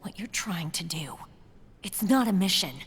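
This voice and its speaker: A woman's voice: on the low side, expressive, husky. A woman playing a tough, earnest military commander with a wounded heart in a dramatic video game cutscene. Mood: earnest, pleading. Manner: deliberate, pleading, forceful.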